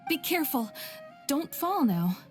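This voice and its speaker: A woman voicing a gentle, welcoming shopkeeper and wife in a video game, speaking courteously. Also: high voice, big pitch swings, unhurried, soft background music.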